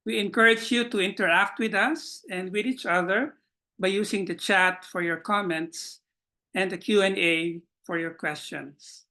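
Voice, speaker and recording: Bright-voiced man hosting a webinar. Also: sits high, steady tone, measured pace. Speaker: webinar host. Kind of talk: welcoming introduction to an online webinar. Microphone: video call.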